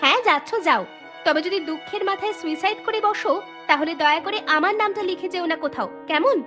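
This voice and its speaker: A woman's voice: very high voice, a little fast, music in the background. A woman voicing a cold, unapologetic young woman with a dismissive manner in a cartoon. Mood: dismissive, mocking. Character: cold, defiant young woman leaving her lover. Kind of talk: melodramatic cartoon acting.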